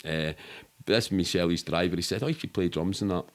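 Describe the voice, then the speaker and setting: A middle-aged man with a Scottish accent speaks in a fairly low voice. He tells stories as a guest in a casual interview, rambling along in everyday colloquial speech with some swearing. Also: quick pace. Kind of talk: casual anecdotal storytelling.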